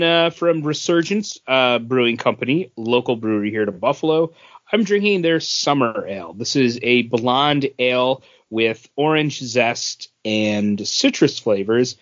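A man talking informally and slangily as a co-host on a casual podcast recorded over an internet call. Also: mid-range voice. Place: remote voice call from home. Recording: dull lo-fi internet call audio.

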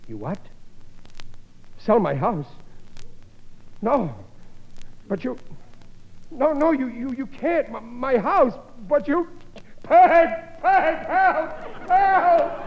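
A man's voice: extremely high pitch, big pitch swings, drawn-out words, pauses often, heavily acted. An actor with an American accent playing a blustering, dim-witted working-class husband and father in a 1950s radio sitcom, melodramatic and ungrammatical. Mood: panicked. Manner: blustery, exclaiming, ungrammatical everyman talk. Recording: muffled vintage radio broadcast.

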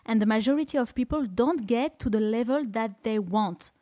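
A woman's voice: a little high, normal speed, warm tone, no room sound, studio quality. A French woman with a French accent hosting a podcast conversation in English, speaking emphatically and effusively. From France. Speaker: podcast host. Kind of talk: casual interview conversation.

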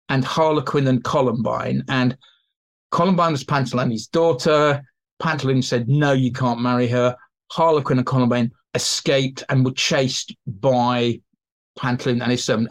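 A man speaking as an expert guest in an interview, explaining theatre history in a colloquial, anecdotal way. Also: medium pitch. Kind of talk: informal explanatory interview talk.

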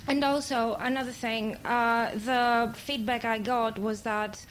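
A native Greek woman with a Greek accent, asking an earnest, polite, halting question from the audience at a public event. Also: fairly high pitch, fairly even intonation. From Greece. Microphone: handheld audience microphone. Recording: faint background noise, live event.

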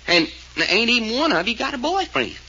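A good-natured, excitable detective in a 1940s radio serial. His talk is folksy and ungrammatical, with a bright edge and big swings in pitch. The old recording is slightly dull. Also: fairly quick, slightly rough, full character voice. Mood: folksy, puzzled. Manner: folksy, ungrammatical, stammering when flustered.

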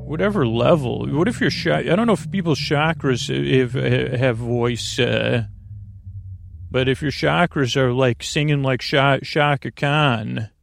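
Male podcast host, gravelly creaky voice, middle pitch, meandering sleep-aid monologue, hesitant and repetitive, dry close recording. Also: dry recording.

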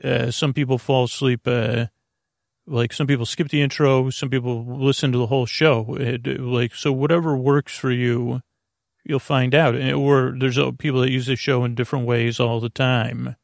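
Guy hosting a sleepy podcast, gravelly low-key voice, wanders off topic a lot, dry close mic. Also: level tone, normal speed, no room sound. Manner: meandering, self-amused, full of fillers and restarts. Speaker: podcast host. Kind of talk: rambling bedtime podcast monologue.

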